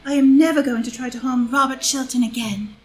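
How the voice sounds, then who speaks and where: A woman's voice: high voice, fairly even intonation, brisk, a little hiss or hum, natural voice, poor audio. A woman playing a scheming, thieving, haughty society lady in a theatrical audio drama reading of a stage play. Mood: composed, sly.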